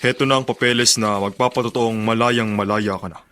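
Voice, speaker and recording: Low-voiced, fatherly prison warden played by an actor. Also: steady tone, a bit bright, natural voice. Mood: fatherly, cautioning. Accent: Filipino. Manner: measured, advising. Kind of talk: Filipino radio drama acting.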